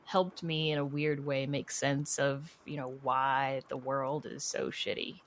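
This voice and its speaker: Low-pitched woman chatting candidly. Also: flat delivery, unhurried, a little hiss or hum. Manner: candid, chatty, peppered with "you know" and "like". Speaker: podcast guest, horror writer. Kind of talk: casual conversational storytelling.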